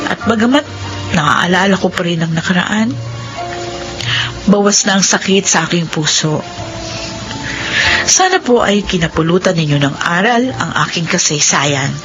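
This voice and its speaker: An actress playing a wronged, devout, resilient daughter, respectful and emotional, with a Filipino accent in a radio drama. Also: female voice, fairly low pitch, animated, unhurried, long pauses, over music, poor audio. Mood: reflective, moved.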